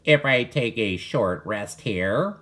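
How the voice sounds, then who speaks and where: Man voicing a slow, halting storybook duck. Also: lowish voice, lively intonation, fairly bright tone, full character voice. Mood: hesitant, polite. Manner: halting, drawn-out delivery. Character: weary, hesitant, polite talking duck. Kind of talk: storybook character voice.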